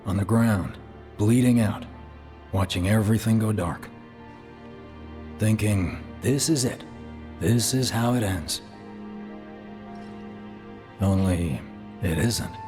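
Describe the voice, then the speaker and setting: An actor playing a gruff, weathered man in a video game, telling a story in a measured, dramatic way. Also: lowish voice, darkish tone. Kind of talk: video game cutscene acting. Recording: music under the voice.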